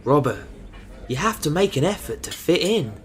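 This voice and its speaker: A man's voice: a little high, big pitch swings, normal speed. An actor playing a stern team leader who gives orders firmly and protects his people. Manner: firm, authoritative, swears when angry.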